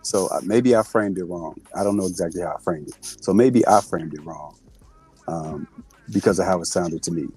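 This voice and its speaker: A man's voice: low voice, normal speed, darkish tone, breathy edge. A man on a panel apologizing and owning how he framed a point. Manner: conciliatory, apologetic.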